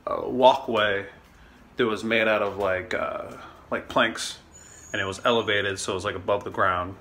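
A man in his thirties rambles through a casual vlog, peppering his talk with fillers and curse words. His voice sits a little low, with a bright, forceful edge. He is recorded close on a handheld phone, with a faint hiss behind him. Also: fairly even intonation, normal speed. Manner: rambling, swears often, many fillers. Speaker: vlogger. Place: indoors at home, then outdoors on city streets and a trail. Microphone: phone camera held close at arm's length.

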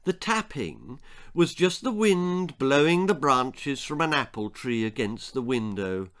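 An older man reading a children's ghost story aloud in a theatrical, suspenseful, drawn-out way. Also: mid-range voice, big pitch swings, a bit bright. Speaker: author reading own story. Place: small book-lined room indoors.

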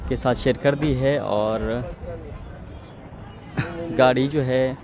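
A man vlogging at an outdoor car market, briskly describing cars and questioning sellers. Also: mid-range voice, a crowd in the background. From Pakistan. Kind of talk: walk-around car review vlog. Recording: outdoor street noise.

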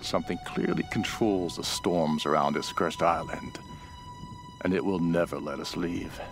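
A man's voice: low voice, vocal fry. An actor playing a ruthless, cynical cult henchman and survivor, narrating a video game journal in a grim, world-weary way. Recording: music behind the voice.

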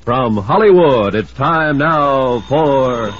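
A man's voice: mid-range voice, animated, takes it slowly, slight background noise. An American man announcing a 1950s radio serial from a script, polished and emphatic. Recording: muffled 1950s radio recording, faint music.

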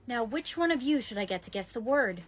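A woman's voice: a little high, lively intonation, fairly quick. A woman acting a melodramatic young woman in a comedy skit, overwrought and pleading, with music playing behind her. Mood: hurt, dramatic. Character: melodramatic young woman caught in party-game drama.

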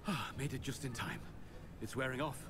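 A man voicing a pompous, flustered male headmaster who blusters and hesitates, clearing his throat. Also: medium pitch, expressive, brisk, over music, natural voice.

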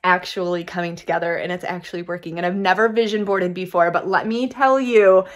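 A woman's voice: fairly low pitch, steady tone, fairly quick. Chatty upbeat woman in an echoey room. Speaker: lifestyle vlogger. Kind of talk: casual sponsored vlog chat. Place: home, dining table then rooms.

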